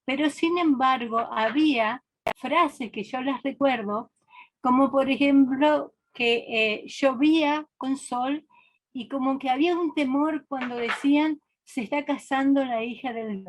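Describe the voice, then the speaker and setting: A woman's voice: sits high, a little slow, rough recording. A woman, speaking thoughtfully in a seminar discussion and often restarting her sentences. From Argentina. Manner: reflective, hesitant, with frequent restarts. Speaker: seminar participant.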